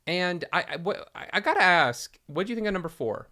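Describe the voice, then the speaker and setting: A man co-hosting a casual movie spoiler chat, animated and joking, reading out viewer questions. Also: high voice, fairly quick. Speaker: podcast co-host.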